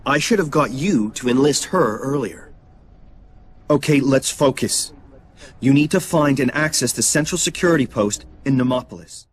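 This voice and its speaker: An actor playing an urgent, focused mission handler in a video game, giving brisk instructions. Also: male voice, medium pitch, lively intonation, a little hiss or hum, natural voice. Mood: urgent, instructing. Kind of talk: video game cutscene acting.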